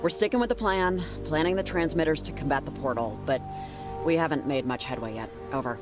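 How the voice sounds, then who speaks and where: Casting: female actor as grounded, practical heroine, direct and unhurried delivery, naturalistic game dialogue. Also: low voice, over music, close to an everyday voice. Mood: serious. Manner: measured, direct, matter-of-fact. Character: level-headed, practical woman named Riley.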